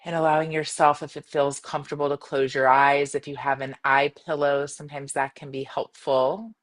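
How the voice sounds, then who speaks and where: A woman leading a guided meditation in a gentle, soothing manner, speaking in measured phrases with long pauses. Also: low-pitched, little pitch movement. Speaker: yoga nidra meditation guide.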